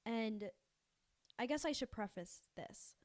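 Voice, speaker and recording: A young woman with a fairly high voice co-hosts a casual podcast. She tells her story conversationally, slipping into reenacted back-and-forth dialogue as she goes. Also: expressive, takes it slowly. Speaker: podcast co-host.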